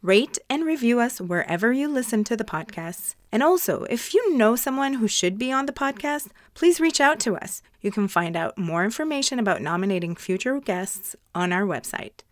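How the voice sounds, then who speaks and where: A woman's voice: fairly high pitch, animated, normal speed, hardly any pauses, no room sound, studio quality. A woman speaking as a podcast host, presenting to listeners and interviewing a guest. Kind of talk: scripted podcast introduction and sponsor reads, then interview questions.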